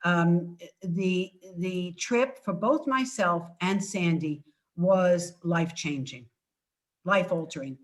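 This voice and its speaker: An older woman speaks at an unhurried, slow pace, pausing and restarting, then leaning on key words. She sounds like an author giving an informal talk from home on a video call. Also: lowish voice. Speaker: author presenting her book. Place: indoors at home.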